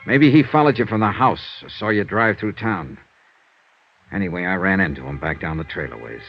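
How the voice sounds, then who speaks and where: Dull vintage radio recording, loud music, hard-boiled male investigator, lowish voice, deliberate theatrical intensity. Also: lively intonation. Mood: weary, low-key. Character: hard-boiled male investigator narrating his own ordeal. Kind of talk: 1950s radio drama acting, dramatic first-person narration.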